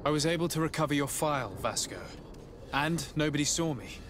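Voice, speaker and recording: A man's voice: on the high side, animated, fairly quick, background noise, close to an everyday voice. A man playing a composed, courteous noble diplomat in a video game, speaking politely and with discretion. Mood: calm, discreet. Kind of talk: video game cutscene acting.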